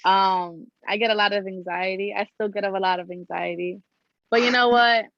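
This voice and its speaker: A woman's voice: mid-range voice, steady tone, normal speed, dry recording, dull audio. A young woman from New Jersey hosting a casual podcast chat, talking in a slangy, upbeat, joking way. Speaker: podcast host.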